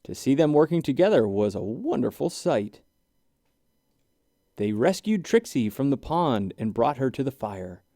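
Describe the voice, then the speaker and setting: A man reading a rhyming children's storybook aloud in an expressive, deliberate way. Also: mid-range voice, dry recording, studio quality. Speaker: children's book reader. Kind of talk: animated scripted read-aloud of a rhyming storybook.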